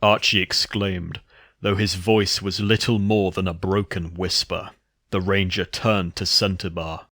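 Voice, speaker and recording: A man's voice: deep voice, level tone, a little slow, bright, forceful tone, dry recording, clean studio sound. A man giving a scripted narration of a horror story.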